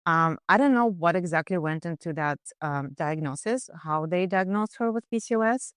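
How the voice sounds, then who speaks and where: A woman nutritionist on a podcast, describing a client case in casual, halting speech full of fillers and restarts. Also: lowish voice, lively intonation, clean tone, no room sound, studio quality. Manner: hesitant, many fillers and restarts. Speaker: nutritionist guest. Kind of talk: conversational case discussion.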